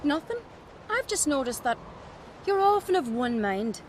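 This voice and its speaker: An actress voicing a bold, confident young noblewoman who talks briskly and teasingly. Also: high voice, very animated, noisy background. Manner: brisk, teasing and sure of herself.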